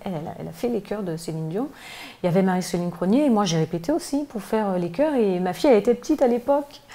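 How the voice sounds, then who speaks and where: A woman's voice: mid-range voice, animated, rapid-fire, warm tone. A middle-aged woman from Martinique, raised near Paris, talking as an interview guest, hesitant with many fillers and restarts. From Martinique, grew up in Paris region. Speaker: gospel singer, guest. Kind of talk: casual interview chat. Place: living room by a piano, indoors. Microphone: handheld mic held by interviewer.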